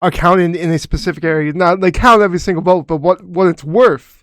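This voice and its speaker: Earnest young man on a podcast, higher voice. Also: fairly bright tone, no echo, studio quality. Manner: earnest, halting with restarts. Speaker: podcast co-host. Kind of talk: casual chat.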